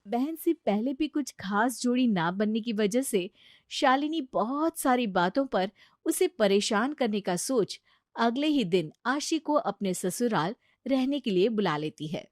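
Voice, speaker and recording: A woman's voice: on the high side, a little slow, no room sound, studio quality. Woman narrating a storybook cartoon. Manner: even, storytelling.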